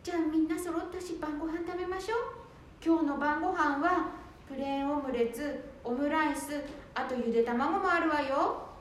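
A polite daughter-in-law voiced by a woman speaks in a high voice, patient but put-upon as she runs the household. It is amateur radio drama acting, heard in a strongly echoing room with faint noise. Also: normal speed, close to an everyday voice. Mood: polite, bustling. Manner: polite, domestic, gently complaining.

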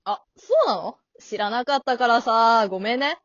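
A cheeky, worldly male monkey played by a woman in a comedy radio drama. The talk is flippant masculine slang in a fairly high voice. The recording is dry and a little dull. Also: moderate pace, fairly bright tone, dry recording. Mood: breezy, teasing. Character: cocky, slangy, chain-smoking grown-up male monkey. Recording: dull lo-fi audio.

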